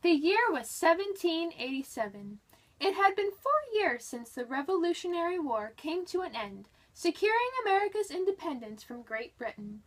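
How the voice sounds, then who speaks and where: A woman's voice: extremely high pitch, sing-song, normal speed, poor audio. A woman reading a history book aloud in a dramatic, scripted narration style.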